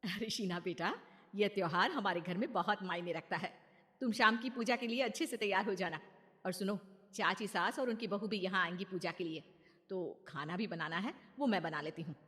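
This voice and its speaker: A woman voicing a kind, understanding middle-aged mother-in-law who speaks gently and reassuringly. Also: mid-range voice, moderate pace, long reverb tail, natural voice. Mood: gentle.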